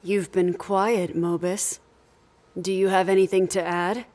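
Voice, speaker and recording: A woman's voice: on the low side, level tone, a little slow, a little mellow, natural voice. A woman playing a stern, decisive leader of a sci-fi crew in an audio drama, speaking firmly and directly. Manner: firm, commanding, direct.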